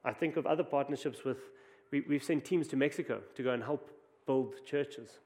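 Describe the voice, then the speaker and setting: A man's voice: medium pitch, normal speed, a little mellow. A man with a South African accent, preaching a conversational sermon with frequent restarts. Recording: long hall reverb.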